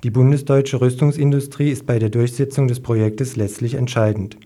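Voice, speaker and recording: Guy reading a scripted news piece on the radio, soft dark tone, middle pitch. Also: steady tone, moderate pace. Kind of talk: scripted news report, read aloud.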